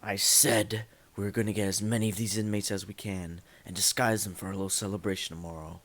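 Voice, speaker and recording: A man's voice: on the low side, flat delivery, brisk, fairly bright tone. A man playing a grim masked vigilante and a smug crooked lawyer in an amateur superhero radio play. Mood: smug, orating. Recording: music behind the voice.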